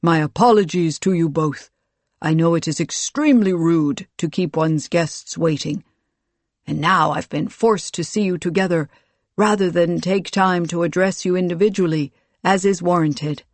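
A narrator voicing a towering, commanding military ruler in an audiobook, with a loud, booming delivery and formal, measured speech. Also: no echo, lightly acted. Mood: genial, commanding. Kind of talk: audiobook character dialogue.

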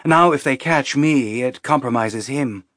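A man voicing a calm, dignified woman on the run aboard a spaceship, measured and earnest, in an audiobook. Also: medium pitch, no echo, studio quality, close to an everyday voice. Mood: measured, serious. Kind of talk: audiobook character acting.

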